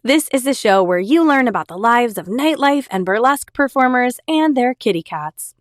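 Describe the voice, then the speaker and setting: An enthusiastic woman hosts her own podcast, talking chattily straight to her listeners. Her voice is a little raspy from a lingering cold. The sound is close and dry, with no room around it. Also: on the high side, lively intonation, normal speed, dry recording. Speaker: podcast host. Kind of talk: solo podcast monologue.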